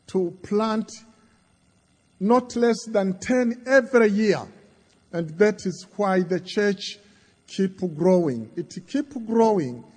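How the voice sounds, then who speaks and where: Tanzanian man, Kiswahili first language, guest preacher; high, unhurried delivery in short phrases, echoing church. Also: darkish tone. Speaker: visiting bishop preaching. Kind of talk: sermon. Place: large church. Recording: long church reverb.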